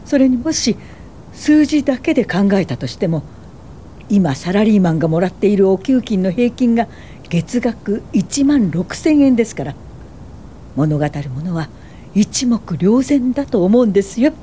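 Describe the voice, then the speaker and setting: An actress playing a principled medical social worker in a radio drama, speaking in a measured, formal and steady way. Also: female voice, mid-range voice, a little hiss or hum, natural voice. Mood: calm conviction. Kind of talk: radio drama narration and acting.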